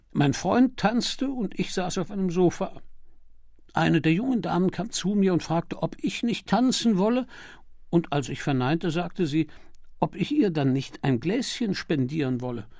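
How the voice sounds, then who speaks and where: A man's voice: on the high side, gravelly, darkish tone, no echo, clean studio sound, heavily acted. A man voicing a timid, prim and apologetic male witness in an audiobook, earnest and easily flustered. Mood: earnest, embarrassed. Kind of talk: audiobook character dialogue.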